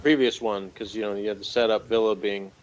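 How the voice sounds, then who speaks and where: A man chatting casually as a review co-host, speaking in a hesitant, halting way. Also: lowish voice, steady tone, slight background noise, low-quality recording. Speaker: podcast co-host reviewing audio dramas.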